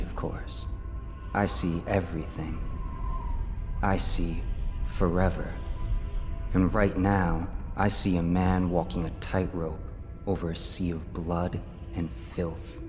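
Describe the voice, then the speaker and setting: An actor playing a mysterious otherworldly male watcher who talks in a slow, cryptic, taunting way, in a game. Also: deep voice, darkish tone. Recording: long reverb with game music.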